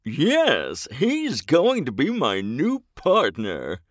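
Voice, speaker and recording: A man's voice: medium pitch, wildly swooping pitch, drawn-out words, no echo, clean studio sound, full character voice. A man playing a pompous, stubborn superhero in a comedy audio drama, grandiose and dismissive as he declaims. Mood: grandly boastful. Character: pompous, stubborn male superhero, theatrical and self-important.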